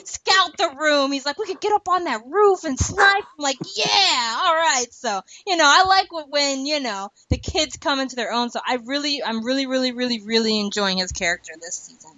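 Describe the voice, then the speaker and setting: A woman's voice: high-pitched, lively intonation, moderate pace, few pauses, sharp tone, no room sound, rough recording. A woman co-hosting a podcast, chatting casually and emphatically about a TV show, repeating words for stress. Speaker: podcast co-host.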